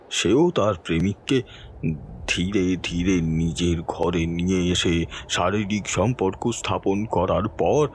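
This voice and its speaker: A man's voice: fairly low pitch, a little mellow, a little hiss or hum. A man playing a helpless, bedridden dying father, delivering a sorrowful, dramatic confession in an audio horror story. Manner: slow, anguished, dramatic pleading.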